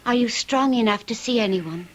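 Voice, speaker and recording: An actress playing a devoted, anxious young sweetheart in an old-time radio drama, tender and melodramatic in manner. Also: female voice, on the high side, normal speed, highs cut off, close to an everyday voice. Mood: gentle. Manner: tender, breathless endearments, melodramatic.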